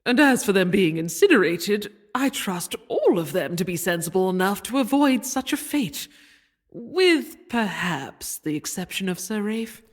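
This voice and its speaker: A woman's voice: mid-range voice, big pitch swings, a little slow. A woman playing a self-assured, dry-witted queen with a composed delivery in a comic audio drama. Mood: wry, assured.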